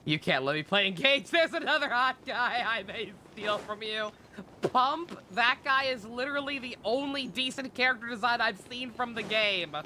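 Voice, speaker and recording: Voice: mid-range voice, animated, moderate pace, sharp tone, a little hiss or hum. A game streamer giving casual live commentary in a blunt, sarcastic, sweary way.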